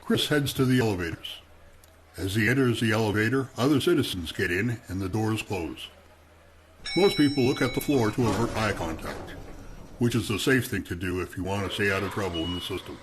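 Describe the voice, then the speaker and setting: A man narrating a radio drama in a steady, measured tone. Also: lowish voice, a little hiss or hum. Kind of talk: scripted narration.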